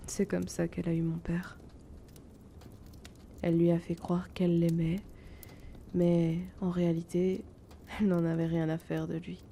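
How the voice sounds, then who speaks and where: Woman playing a slow, soft-spoken young male potion seller. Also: fairly low pitch, monotone, a little hiss or hum. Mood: bitter, subdued. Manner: soft, hesitant, confiding, often breathy. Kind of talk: intimate fantasy audio drama acting.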